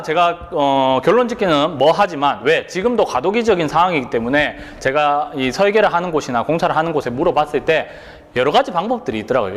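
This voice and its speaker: A man's voice: on the high side, long reverb tail. A male instructor giving an exam prep lecture, posing rhetorical questions and checking that students follow along.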